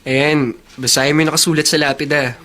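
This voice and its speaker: Bright, boyish Filipino big brother. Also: male voice, a little high, steady tone, fairly quick. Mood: calm. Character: playful, affectionate teasing big brother, a youth. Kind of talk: Filipino radio drama acting.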